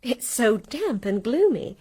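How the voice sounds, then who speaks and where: An actress playing an inquisitive, playful yet anxious wife in a radio drama. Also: female voice, a little high, big pitch swings, slow, mellow, husky, heavily acted. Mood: anxious, probing.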